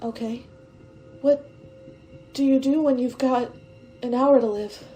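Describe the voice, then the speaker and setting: High, soft-toned frightened woman stowaway played by an actress. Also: fairly even intonation, slow pace, faint music behind, poor audio. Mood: wistful, sad. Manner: hesitant, tender, emotional. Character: frightened, wistful young woman stowaway facing death. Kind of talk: 1950s science-fiction radio drama acting.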